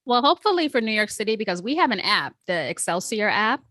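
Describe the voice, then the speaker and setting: A woman's voice: mid-range voice, big pitch swings, fast, sharp tone, no room sound. A woman from the United States hosting a podcast, curious and giving frequent murmurs of agreement. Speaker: podcast host. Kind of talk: casual interview.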